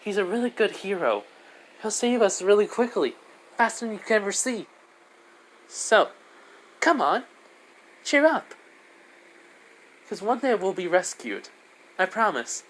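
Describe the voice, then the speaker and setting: Man putting on a high-pitched, cheerful little girl spirit, Diane. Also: sing-song, measured pace, pauses often, fairly bright tone, exaggerated voice. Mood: reassuring. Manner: bubbly, pleading, childlike reassurance. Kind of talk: amateur character voice in improvised storytelling. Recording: noisy amateur recording.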